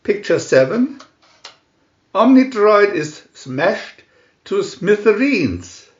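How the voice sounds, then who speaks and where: A man reading a scripted picture storybook aloud in short, deliberate phrases with pauses between them. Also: high-pitched, sing-song, echoey room, muffled recording. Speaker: reader of picture-story booklets.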